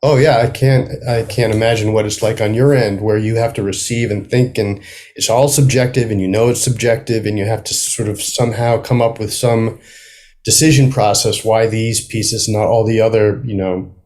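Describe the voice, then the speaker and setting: A middle-aged man with a somewhat low voice talks reflectively in an interview. He is thoughtful and halting, circling back to rephrase his points as a writer would when explaining his craft. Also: fairly even intonation, a little mellow. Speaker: guest playwright.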